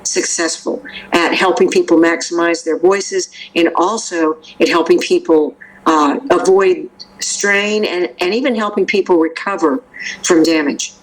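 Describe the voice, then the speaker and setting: A woman's voice: fairly low pitch, steady tone, unhurried, low-quality recording. An older woman from the Memphis area, a vocal coach talking casually in an interview, rambling with restarts and fillers.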